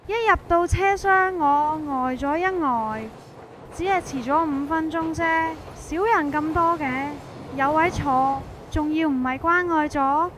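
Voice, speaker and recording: A woman's voice: high voice, measured pace, a bit bright, a little hiss or hum. A woman with a Hong Kong Cantonese accent narrates a scripted suspense story in the first person, in a colloquial, dramatized way.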